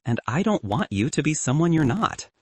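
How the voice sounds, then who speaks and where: A man voicing a torn, remorseful young woman in an audiobook, halting and earnest. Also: a little high, sing-song, breathy edge, no room sound, pitched above the performer's own voice. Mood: earnest, sad.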